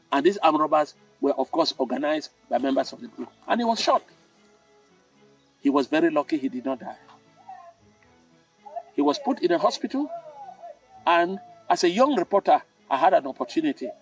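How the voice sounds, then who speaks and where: Older Cameroonian man, higher-pitched storyteller. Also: creaky, husky, no room sound, far from the mic, poor audio. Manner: deliberate, emphatic, repeats key phrases. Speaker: storytelling host. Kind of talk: moralising storytelling talk.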